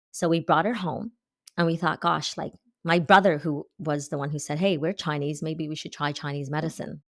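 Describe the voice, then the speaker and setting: A woman's voice: deep voice, no echo, studio quality. A woman in her fifties, an acupuncturist giving earnest, explanatory answers in an interview. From Canada. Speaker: guest, acupuncturist.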